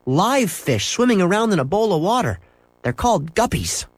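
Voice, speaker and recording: A man voicing an eager young boy who yells at his dog and talks excitedly to his mother, in a raised voice. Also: high voice, normal speed, dry recording, pitched above the performer's own voice.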